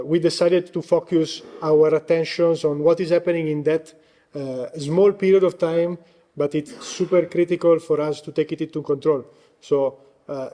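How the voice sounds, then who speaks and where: Italian-born guy giving a talk, voice going hoarse, lots of uh's, kinda warm soft tone. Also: fairly high pitch. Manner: hesitant, frequent "uh" fillers. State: losing his voice. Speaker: guest speaker. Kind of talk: conference presentation.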